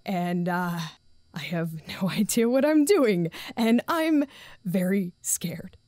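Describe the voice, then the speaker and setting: A woman's voice: medium pitch, expressive, slow pace, a little mellow, a little hiss or hum. An actress playing an anxious, dutiful site director in an audio drama, formal but faltering and apologetic as she reports. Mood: nervous, confessing. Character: anxious, dutiful female acting site director, self-doubting.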